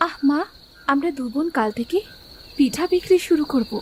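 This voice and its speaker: Very high-pitched earnest young village girl. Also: lively intonation, normal speed, slight background noise. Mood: reassuring. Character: eager, dutiful young village girl, a caring daughter. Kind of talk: Bengali animated moral story dubbing.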